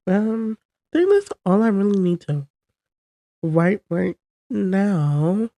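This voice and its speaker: A young woman chats loosely and rambles on her first podcast episode, her voice stuffy and congested from a cold. She talks slowly in a mellow, low-key tone. The recording is dry and close. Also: expressive, dry recording. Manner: chatty and rambling, talking to camera. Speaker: podcast host. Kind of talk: casual solo chat.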